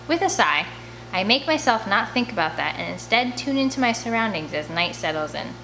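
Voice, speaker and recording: A woman narrates a children's novel in the first person with an expressive storytelling delivery. Her voice sits at a middle pitch. There is faint ambient noise in the background. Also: brisk, rough recording. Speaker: audiobook reader.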